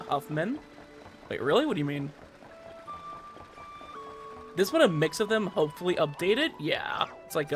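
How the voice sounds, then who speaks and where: A male game streamer with a fairly high, lively voice. He rambles excitedly in casual livestream chat, circling back to repeat his points, with faint music behind him. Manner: rambling, excitable, repetitive emphasis.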